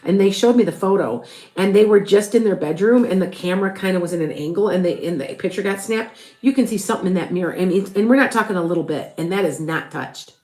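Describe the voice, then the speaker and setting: A woman's voice: medium pitch, fast, warm tone, roomy sound. A woman with a Chicago accent, a tarot reader chatting loosely on a live stream and reading out viewers' questions as she rambles along. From Chicago area, with Wisconsin roots.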